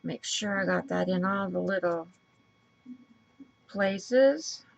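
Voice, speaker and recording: A woman with a raspy voice and a stuffy nose, chatting casually as she works through a crafting tutorial and thinks aloud. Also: medium pitch, takes it slowly. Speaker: craft video host.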